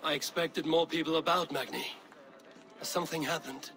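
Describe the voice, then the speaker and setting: Wry, higher-voiced Viking warrior. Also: male voice, fairly even intonation, normal speed, a bit bright. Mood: concerned, businesslike. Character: Eivor, seasoned Viking warrior, wry and steady. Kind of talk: dramatic video game acting.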